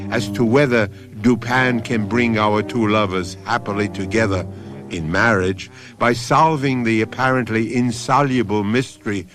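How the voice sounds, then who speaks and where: Animated male radio mystery host. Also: fairly low pitch. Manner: theatrical, measured, suspenseful. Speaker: host and narrator. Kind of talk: scripted radio mystery narration.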